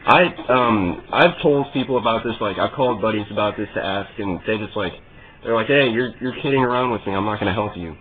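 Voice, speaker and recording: Man on a muffled line, deadpan and halting. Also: on the low side, a little hiss or hum. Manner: deadpan, mock-earnest, halting. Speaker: prank caller. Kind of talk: prank phone call posing as a customer with a computer problem. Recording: muffled narrowband phone call.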